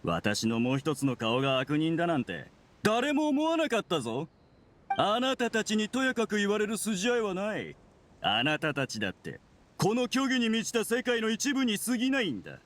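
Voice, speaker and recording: An actor playing a bitter, sneering researcher turned criminal, sardonic and argumentative, in an anime-style Japanese game. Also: male voice, medium pitch, very animated, moderate pace, few pauses, lightly acted.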